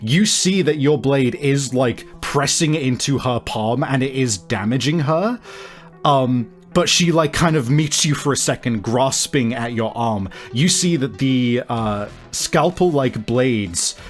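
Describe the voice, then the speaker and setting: A man runs a tabletop game as its game master. His voice sits at a middle pitch, and he explains and describes off the cuff in halting phrases. Music plays clearly behind him. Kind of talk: improvised narration of a tabletop game.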